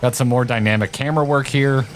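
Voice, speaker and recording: A man around his thirties or forties reacting casually and excitedly to game footage, with informal, opinionated commentary. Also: mid-range voice, fast, over music. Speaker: co-host of a video game reaction show.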